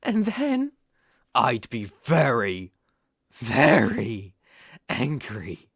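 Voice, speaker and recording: A British man playing an obsessive, menacing young boyfriend in an intimate ASMR roleplay, coaxing softly with slow, deliberate emphasis. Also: medium pitch, huge pitch swings, gravelly, husky, no room sound, studio quality, exaggerated voice. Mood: stern, threatening. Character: obsessive, possessive, menacing young boyfriend holding partner captive.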